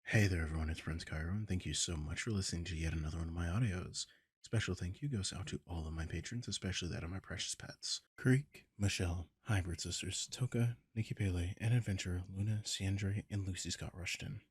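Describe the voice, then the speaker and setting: A man speaking softly and quickly in a casual outro as an audio creator thanking listeners. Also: low voice, breathy edge, dry recording.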